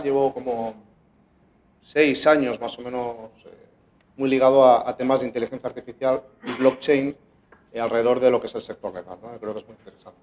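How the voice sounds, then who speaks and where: A man's voice: mid-range voice, a little mellow. A middle-aged man from Valencia with a Spanish accent, giving a conference talk in a casual, hesitant way with many fillers. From Valencia, Spain. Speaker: conference speaker.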